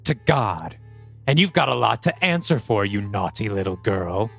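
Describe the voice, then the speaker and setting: A man's voice: on the low side, very animated, normal speed, creaky, a bit bright, soft background music, full character voice. A man voicing a sneering demon preacher. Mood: taunting. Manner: taunting, theatrical. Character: mocking male demon possessing a priest.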